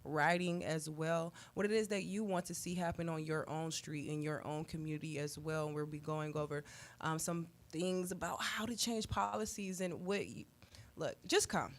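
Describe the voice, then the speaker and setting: A woman with a fairly low voice makes an off-the-cuff event announcement on the radio. She comes across as a community organizer, urging listeners directly and building emphasis through repeated, list-like phrases. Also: normal speed, a bit bright. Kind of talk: spontaneous on-air event announcement.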